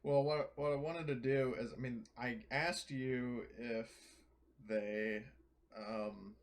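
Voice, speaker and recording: A man's voice: mid-range voice, fairly even intonation, slow pace. A man chatting casually as a tabletop game player, hesitant and often correcting himself.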